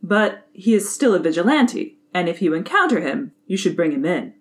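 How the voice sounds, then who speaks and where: Stern police captain, played by a woman: low-pitched, formal and deadpan, with swooping intonation, for audio drama. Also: moderate pace.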